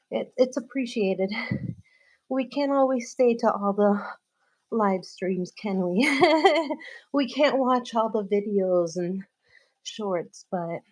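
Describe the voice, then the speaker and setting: A woman whose first language is Spanish chats casually with viewers during a live-streamed workout, laughing often and using many fillers. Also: fairly high pitch. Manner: greets viewers warmly by name. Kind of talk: casual live stream chat while exercising.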